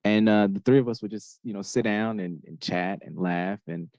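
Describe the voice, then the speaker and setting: A man in his thirties from Honduras telling his story casually as a podcast guest, often pausing to search for words. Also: fairly low pitch, a little mellow, no echo, muffled recording.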